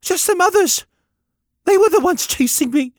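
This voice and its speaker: A man's voice: very high voice, expressive, moderate pace, dry recording, clean studio sound, voice pushed up. A man reading an audiobook, voicing a lonely, earnest young boy who pleads in ungrammatical country speech. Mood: upset.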